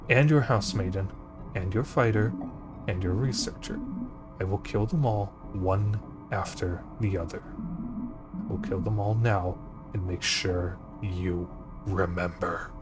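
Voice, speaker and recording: A man voicing a cruel, gloating villain king who talks slowly and quietly in threats. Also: sits low. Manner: slow, hushed, threatening. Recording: music behind voice.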